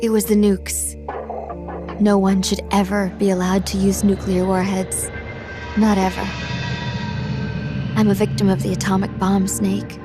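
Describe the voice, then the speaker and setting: A woman's voice: mid-range voice, flat delivery, a little slow, long pauses, over music, lightly acted. A woman voicing a grave, idealistic young psychic soldier in a video game, earnest and solemn. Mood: sorrowful. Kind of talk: dramatic video game voice acting.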